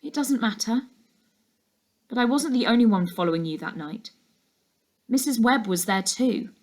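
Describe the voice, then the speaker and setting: Woman voicing an earnest, higher-pitched young girl. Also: lively intonation, moderate pace, darkish tone, natural voice. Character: observant young girl, earnest, frank and curious.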